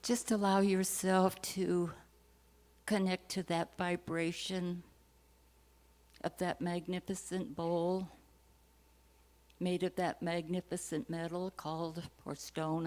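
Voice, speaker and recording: A woman leading a slow, solemn spoken prayer at a church service. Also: on the low side, level tone, darkish tone. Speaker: prayer practitioner.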